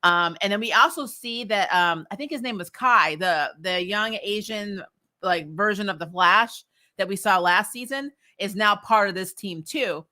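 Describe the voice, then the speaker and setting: Bright-voiced woman chatting casually. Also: mid-range voice, moderate pace. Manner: animated, slangy, opinionated. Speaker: host of a TV recap show. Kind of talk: casual chatty TV episode review.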